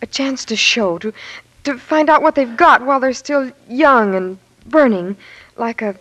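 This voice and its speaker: An actress playing a devoted young American fiancée in 1940s radio drama style, earnest, halting and pleading. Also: female voice, on the high side, fairly bright tone.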